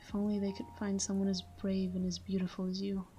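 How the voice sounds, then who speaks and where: A woman playing a tender, melancholy vampire lover in an intimate ASMR monologue, speaking softly and slowly in a breathy half-whisper, close to the microphone. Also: mid-range voice, completely flat delivery, lo-fi sound, lightly acted. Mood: hushed, reminiscing. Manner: slow, hushed, wistful delivery. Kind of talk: intimate ASMR sleep-aid roleplay monologue. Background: soft background music.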